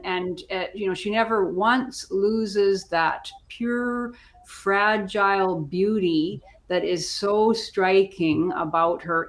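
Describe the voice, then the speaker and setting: Woman, mid-range voice, discussion panelist, opinionated, conversational, halting with repeated words. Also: mid-range voice. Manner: halting, with repeated words while thinking aloud.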